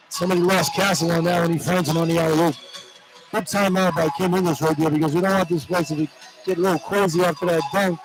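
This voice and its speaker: A man's voice: high-pitched, rough recording. A man giving live basketball analysis as a color commentator, talking in a conversational, explanatory way. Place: indoor basketball arena.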